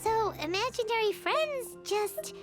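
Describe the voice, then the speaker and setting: A woman voicing a tiny, curious fairy companion in an anime game, squeaky and childlike, excitable and quick to get indignant. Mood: curious, disappointed. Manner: speaks of herself in third person, exclamatory. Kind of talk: anime video game voice acting. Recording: loud game music behind.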